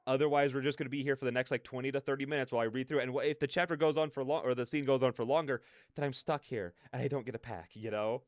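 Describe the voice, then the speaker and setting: A man's voice: medium pitch, fairly even intonation, rapid-fire, no echo, studio quality. A man hosting a livestream, chatting casually in a rambling, slangy, digressive way. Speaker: livestreamer hosting a reaction stream.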